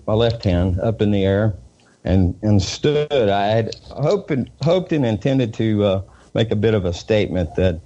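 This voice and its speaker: A man with a Southern US accent, a reporter being interviewed, talking in a halting way with many fillers and repeated words. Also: lowish voice, mellow, muffled recording, a little hiss or hum. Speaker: independent news reporter, guest. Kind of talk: casual interview talk.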